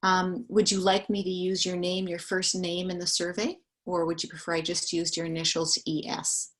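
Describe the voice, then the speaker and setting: Calm, methodical woman interviewer, lower voice. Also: moderate pace. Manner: calm, methodical, reads questions and summaries back. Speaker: survey interviewer. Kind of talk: structured interview.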